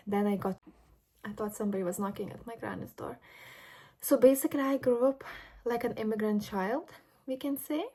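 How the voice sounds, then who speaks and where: A woman's voice: medium pitch, dark tone. A Lithuanian-born woman in her late twenties, a vlogger talking earnestly and personally in a reflective monologue, with occasional fillers. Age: late 20s. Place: grandmother's home indoors.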